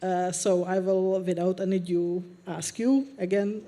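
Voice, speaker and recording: A woman moderating a conference panel, introducing the next speaker in a halting way with many fillers. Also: fairly low pitch, steady tone, mellow.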